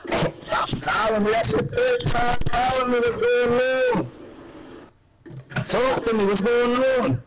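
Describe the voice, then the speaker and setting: Opposing player, man, high and sing-song taunts. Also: sing-song, slow, pauses often, heavy background noise. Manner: mocking, repetitive taunts. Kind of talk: taunting trash talk.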